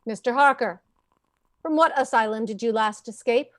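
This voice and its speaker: An actress playing a cool, authoritative coroner in an old time radio style drama, speaking in a formal, official manner. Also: female voice, fairly high pitch, moderate pace, no room sound, clean studio sound, natural voice. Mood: cool, curt.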